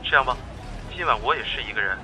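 A man's voice: mid-range voice, animated, fast talker, pushed and bright, slight background noise, low-quality recording. A man playing a courteous, attentive young man in an amateur audio drama. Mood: casual, inviting. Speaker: amateur voice actor.

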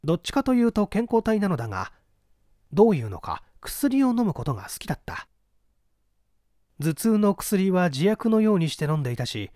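A man narrates a story in a fairly high voice. His intonation moves up and down a great deal, while the phrasing stays measured and deliberate. The sound is dry and close, as in a literary audiobook. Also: dark tone, no echo. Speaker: audiobook reader, narrator of classic mystery fiction.

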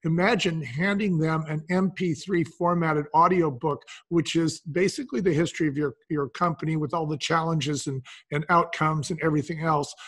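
Older man with a higher-pitched voice. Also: a bit bright, breathy edge. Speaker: podcast guest, business founder. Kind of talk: conversational interview, explaining and storytelling.

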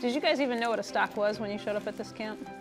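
Quick American woman interviewer, reverberant sound. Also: mid-range voice, music in the background. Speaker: TV correspondent interviewing. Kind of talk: interview questions.

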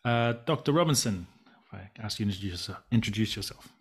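Low-voiced man hosting an online panel. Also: expressive, brisk, slightly rough. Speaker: host and interviewer. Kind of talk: introducing guests in an online panel. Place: indoors on a video call. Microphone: computer mic on video call.

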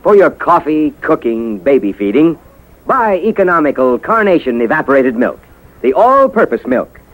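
A man's voice: a little high, very animated, normal speed, low-quality recording. A man with an American accent announcing and narrating a 1950s radio show in a polished, emphatic style. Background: music.

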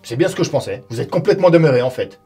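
A man's voice: medium pitch, lively intonation, rapid-fire, slightly rough, faint music behind. An actor in his forties playing a short-tempered, sarcastic medieval warrior in a comedy sketch, recorded on a stone cellar set. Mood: exasperated. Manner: mock-friendly, then sarcastic and insulting, shouting at times. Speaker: warrior who owns the wine cellar.

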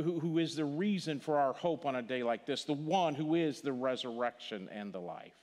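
Older pastor, Missouri accent, earnest funeral preaching, mid-pitched voice, long church reverb. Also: male voice. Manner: earnest, repeats words, drops to a hush on key phrases. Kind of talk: funeral sermon. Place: large church sanctuary stage.

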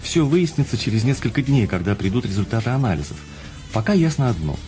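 An actor in a radio drama playing a frank hospital doctor who explains grave news. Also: male voice, mid-range voice, very animated, fast, warm tone, soft background music, natural voice.

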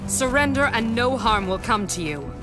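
A woman's voice: fairly high pitch, expressive, moderate pace, lightly acted. A woman voicing a commanding warrior heroine. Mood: firm. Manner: firm, composed. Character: commanding adult female warrior superheroine. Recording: game cutscene with music.

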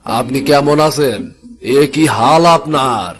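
A man's voice: medium pitch, slow pace, a bit bright. A man voicing a tired, kindly poor fisherman father with a rural Bangladeshi accent in a cartoon. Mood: gentle. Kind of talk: cartoon voice acting.

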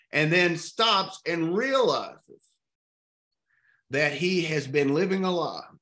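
Brief: expressive man in his fifties, from East Texas, literature discussion leader on a webcam, a touch high-pitched. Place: home room with art on walls. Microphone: video call.

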